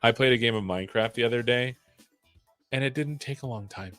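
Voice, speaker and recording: A man's voice: medium pitch, fairly quick, fairly bright tone, faint music behind. A man hosting a casual livestream, rambling playfully with jokey asides. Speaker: live show host. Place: own room at home.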